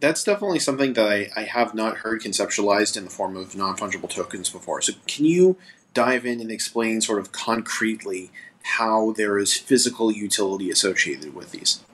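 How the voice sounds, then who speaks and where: A man co-hosting a podcast interview, speaking in a measured way with uh fillers. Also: fairly low pitch, animated, a bit bright, low-quality recording. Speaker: podcast co-host. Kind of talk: casual interview talk.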